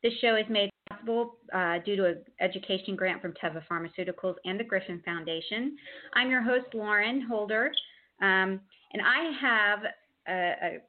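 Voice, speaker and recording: A woman's voice: mid-range voice, normal speed. A woman hosting a talk show over a video call, hesitant, with frequent ums and restarts. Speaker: host. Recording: tinny phone-line audio.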